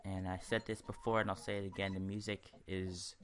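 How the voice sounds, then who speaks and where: A man's voice: deep voice, flat delivery, brisk. A man giving casual, rambling let's-play commentary, sharing his opinions as he goes. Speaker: host of a gaming video series.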